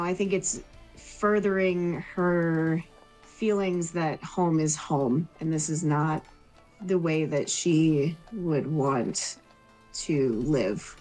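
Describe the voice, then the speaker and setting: Woman, slow halting pace, fairly low pitch, reflective tabletop player, music behind. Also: darkish tone, low-quality recording. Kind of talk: thinking aloud about character, casual. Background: background music.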